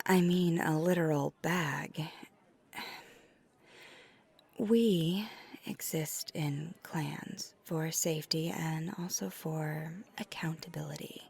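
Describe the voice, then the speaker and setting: A woman voicing a flirty, caring vampire in an intimate ASMR roleplay, soft-spoken and breathy, sometimes near a whisper, with halting hesitations. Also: sits low, full character voice. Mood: surprised, reassuring. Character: flirty, caring female vampire on a dinner date.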